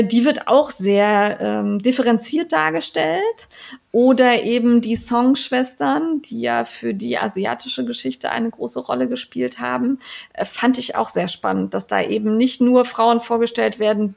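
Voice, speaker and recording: Woman, podcast co-host, gravelly edge, chatty, lots of fillers, casual. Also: a little high, darkish tone. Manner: chatty, many fillers and restarts.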